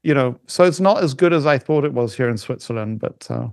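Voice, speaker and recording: A middle-aged man talking as a podcast guest in casual conversation, halting with frequent restarts and fillers. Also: mid-range voice, animated, vocal fry, dry recording, studio quality. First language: not German.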